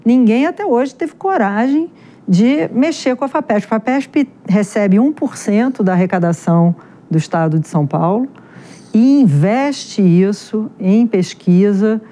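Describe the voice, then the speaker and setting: A middle-aged woman from Rio, speaking with a clear carioca accent. Her voice is warm and mellow at a middling pitch. She answers interview questions in a lively, chatty way, with plenty of restarts and fillers. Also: measured pace. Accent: Carioca Portuguese. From Rio de Janeiro, Brazil. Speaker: genetics researcher, guest.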